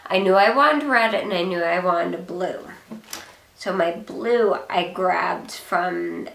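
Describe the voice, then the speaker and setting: Young woman kinda hoarse from a cold, gravelly, rambly chatty haul talk, echoey room. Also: on the low side, takes it slowly, fairly bright tone. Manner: chatty, rambling, frequent ums. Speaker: beauty vlogger. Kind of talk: casual product haul chat.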